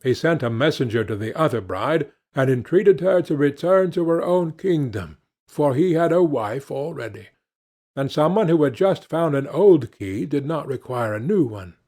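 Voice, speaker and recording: Expressive male fairy-tale audiobook narrator. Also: on the high side, normal speed.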